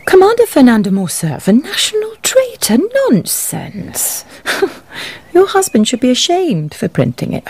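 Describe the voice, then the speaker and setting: An actress playing a snobbish, fluttery society wife in a period radio drama, with a gushing, theatrical, breathy delivery. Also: female voice, high voice, huge pitch swings, unhurried, a little mellow, heavily acted. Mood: indignant. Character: fluttery, snobbish society wife, doting mother, cold stepmother.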